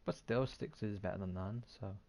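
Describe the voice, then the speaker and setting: Low, gravelly man commentating on a game. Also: normal speed, a little mellow. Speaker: gaming YouTuber. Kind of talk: casual gameplay commentary.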